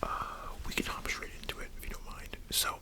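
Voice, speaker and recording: An ASMR host talks entirely in a breathy, unvoiced whisper. The delivery is casual and rambling, like a relaxed discussion held directly with the listener. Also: fairly quick. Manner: soft-spoken rambling, addresses the listener directly.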